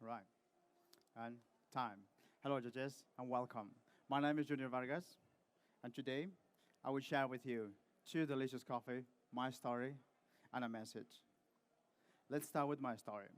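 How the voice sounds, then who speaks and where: A man from Peru delivers a rehearsed barista competition routine to a judging panel. His delivery is measured and steady, with short pauses between phrases, and his voice sits at an ordinary middle pitch. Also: clear, resonant tone. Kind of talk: scripted competition presentation to judges.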